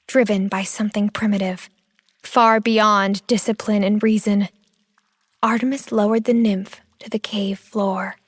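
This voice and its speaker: A woman reads scripted fiction as a sensual storyteller, breathy and often close to a whisper. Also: medium pitch, flat delivery, a little slow, no room sound. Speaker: audio drama narrator.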